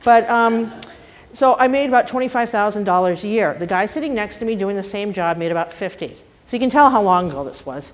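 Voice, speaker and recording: A woman's voice: mid-range voice, lively intonation, a little fast, poor audio. An older woman from Boston with a light Boston accent, speaking as a panelist and telling her own career stories in a wry, frank, self-deprecating way. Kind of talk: candid personal talk.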